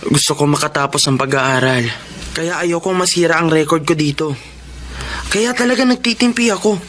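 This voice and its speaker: A man's voice: a little high, normal speed, music in the background, lightly acted. An actor playing a humble, patient young man working as a janitor while he studies, speaking politely and with restraint. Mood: calm. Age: young adult. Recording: noticeable background noise.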